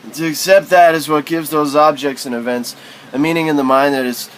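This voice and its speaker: A man's voice: a little high, steady tone, brisk, poor audio. A man reading literary prose aloud as spoken word, in a musing, philosophical manner.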